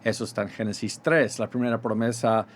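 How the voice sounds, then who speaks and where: Middle-aged man, American accent with Mexican touches, halting radio guest, faint hiss behind. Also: on the low side, flat delivery. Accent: American with Mexican Spanish touches. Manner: halting, many fillers and restarts. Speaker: pastor, ministry guest. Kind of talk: radio interview in Spanish.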